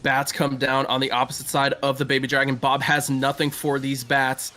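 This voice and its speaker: Bright-voiced American male esports caster. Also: mid-range voice, completely flat delivery, normal speed. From Massachusetts, United States. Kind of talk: analytical live game commentary.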